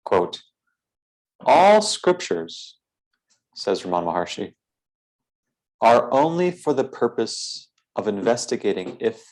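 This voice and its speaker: A man leading a philosophical guided meditation in a measured, deliberate way, with formal phrasing and frequent pauses. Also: lowish voice, darkish tone, rough recording.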